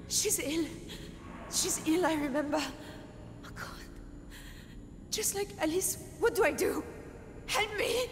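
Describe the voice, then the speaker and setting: An actress voicing a frightened, tender young mother in a game, speaking in hushed, tearful, pleading tones. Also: extremely high pitch, animated, slow, long pauses, a little hiss or hum, full character voice. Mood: panicked. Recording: roomy game audio with loud music.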